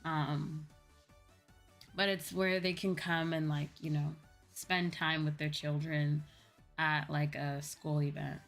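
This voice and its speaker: A woman's voice: low voice, level tone, takes it slowly, fairly bright tone. A young American woman livestreamer chatting casually with viewers in a rambling, informal way, often correcting herself. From United States. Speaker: livestreamer, virtual YouTuber. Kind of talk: casual chat with live viewers. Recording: music playing behind the voice. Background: background music.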